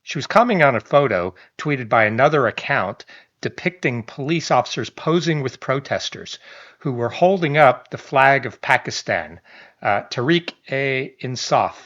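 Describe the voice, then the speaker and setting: A man talking through a news story for his online channel. His voice sits at an ordinary middle pitch, and he mixes reading with loose, chatty asides, stumbling restarts and small chuckles. The audio sounds a little dull and lo-fi. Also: lively intonation, moderate pace, a bit bright. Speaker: online commentator. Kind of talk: reading a news article aloud with casual commentary.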